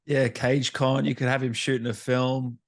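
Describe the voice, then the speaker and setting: Male gaming-channel host, conversational interviewer, mid-pitched voice, dry recording. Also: little pitch movement, normal speed, no room sound. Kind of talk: casual interview chat.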